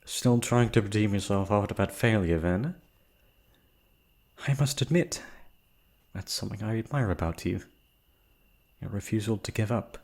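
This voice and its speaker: A man's voice: on the low side, moderate pace, long pauses, darkish tone. A man acting a shy, proud, wistful noble prince in a romantic fantasy roleplay, with hesitant, formal, sighing speech. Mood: wry, probing.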